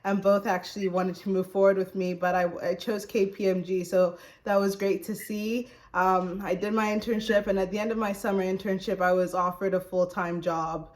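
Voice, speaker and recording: Chatty young woman from Zambia, mid-pitched. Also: level tone, normal speed, few pauses, darkish tone. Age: 20s. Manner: chatty, peppered with "like" and "you know". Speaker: interview guest, recruiting manager. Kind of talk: casual personal interview storytelling.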